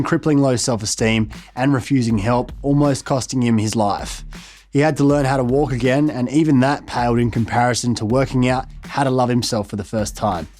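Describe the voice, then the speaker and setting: An Australian man hosts a podcast in a measured, earnest way, reading his intro and then asking questions. His voice sits at an ordinary middle pitch. Faint music plays underneath him. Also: a little mellow. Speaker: podcast host and journalist.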